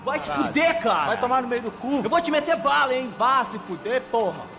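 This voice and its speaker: An actor playing an angry, vulgar male street gangster in a video game, yelling curses. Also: very high voice, animated, quick pace, creaky, exaggerated voice. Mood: furious. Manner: cursing, yelling. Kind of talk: video game cutscene acting. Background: game score music.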